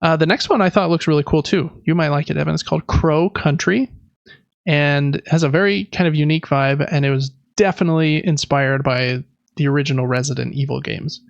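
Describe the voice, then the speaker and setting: A man's voice: on the high side, fairly even intonation, brisk, gravelly, dry recording, highs cut off. A man co-hosting a podcast, chatting casually about video games. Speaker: podcast co-host.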